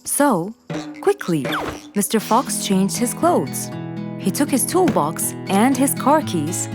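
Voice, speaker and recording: Lively woman storyteller over loud music. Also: mid-range voice, slow pace. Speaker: narrator. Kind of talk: scripted children's story narration.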